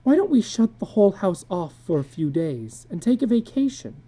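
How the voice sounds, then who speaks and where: A woman's voice: medium pitch, big pitch swings, normal speed, warm tone, voice pushed up. A woman voicing a frightened, pleading housewife in a dramatic story reading, anxious and insistent. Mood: tearful, wistful.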